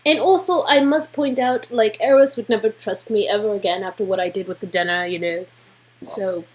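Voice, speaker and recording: A woman's voice: medium pitch, normal speed. A woman co-reading an interactive game's dialogue in a playful dramatic style. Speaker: co-reader performing game dialogue. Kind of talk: playful dramatic read-aloud.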